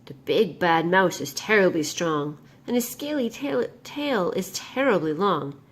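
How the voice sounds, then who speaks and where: A woman's voice: lowish voice, lively intonation, measured pace, slightly rough. A woman voicing a big monster father in a storybook, grave and emphatic, telling ominous warnings. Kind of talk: storybook read-aloud character voice.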